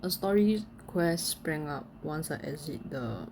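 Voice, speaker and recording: A woman's voice: deep voice, expressive, unhurried. A woman giving casual, unscripted commentary as she plays a video game. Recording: noisy home mic with background ambience.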